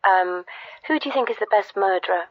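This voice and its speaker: A woman's voice: medium pitch, fairly quick, dry recording, natural voice. An actress playing a polite, earnest, hesitant woman phoning a spoof radio show with morbid fascination. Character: polite, earnest female caller fascinated by murder. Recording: slightly dull, dry phone-in line.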